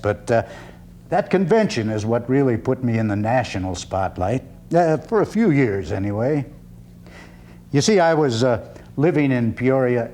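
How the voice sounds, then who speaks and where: A man performs as a genial older orator of the 1890s, giving a theatrical solo monologue. He is measured and folksy, a country lawyer who reasons aloud. Background noise and hum sit behind the voice. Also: mid-range voice, a little mellow, natural voice.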